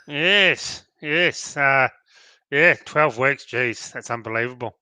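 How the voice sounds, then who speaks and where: A man with an Australian accent hosts a casual live stream, his voice very bright and forward. His nose is blocked up from hay fever and he sounds worn out. The sound is dry and close, with no room at all. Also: medium pitch, slow pace, smooth, no echo. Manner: chatty and welcoming, says sorry for sounding tired.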